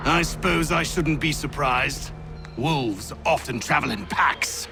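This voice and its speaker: A scornful general. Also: male voice, on the high side, slow pace. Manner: imperious, scornful, given to angry outbursts. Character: arrogant stern British general, middle-aged. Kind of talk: video game cutscene acting. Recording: music score behind voice.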